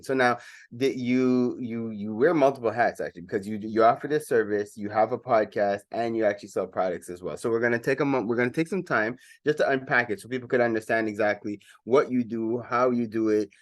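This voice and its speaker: A man's voice: medium pitch, dry recording. A male radio host in easygoing conversation, circling back and restarting his sentences as he goes. His voice sits in a middle register and sounds close and dry, with no room around it. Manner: rambling, many restarts and fillers. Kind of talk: casual radio interview.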